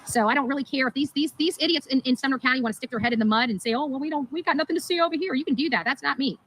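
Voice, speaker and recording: A woman's voice: high voice, very fast, fairly bright tone, rough recording. A woman livestreamer speaking in an emphatic, indignant and repetitive way as she vents. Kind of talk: live stream monologue, venting.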